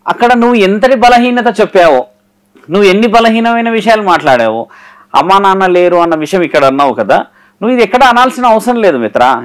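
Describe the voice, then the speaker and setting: A man speaking as a guest guru, giving direct, didactic and admonishing advice in an interview. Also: sits high.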